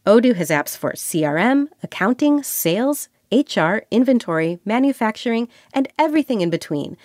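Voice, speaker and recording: A woman's voice: on the high side, sing-song, normal speed, darkish tone, no echo, studio quality. A woman announcer reading a scripted sponsor message. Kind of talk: scripted advertisement read. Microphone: close studio mic.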